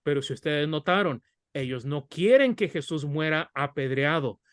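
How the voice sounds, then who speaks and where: A man's voice: on the high side, animated, normal speed, no echo, studio quality. A middle-aged man from Mexico with a Mexican Spanish accent, giving an earnest, conversational Bible study sermon. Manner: earnest, conversational, addresses listeners as brothers. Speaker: preacher.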